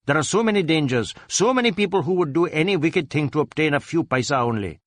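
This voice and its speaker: A man's voice: on the high side, animated, quick pace, no echo, voice pushed up. A man voicing an irritable, self-righteous Indian husband and father in storybook dialogue, with a snarling, retorting manner. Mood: snarling, scolding. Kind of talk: acted dialogue in a storybook reading.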